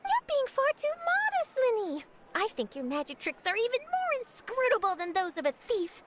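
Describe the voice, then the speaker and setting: A woman's voice: extremely high pitch, wildly swooping pitch, normal speed, heavy background noise, heavily acted. A woman playing an eager, bubbly young newspaper reporter in an anime game, chirpy, polite and enthusiastic. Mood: excited. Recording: music playing behind.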